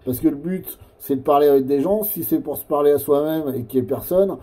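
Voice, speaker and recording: Mellow, halting man in casual radio chat. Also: on the high side. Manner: hesitant, halting, repeats phrases. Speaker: amateur CB radio operator.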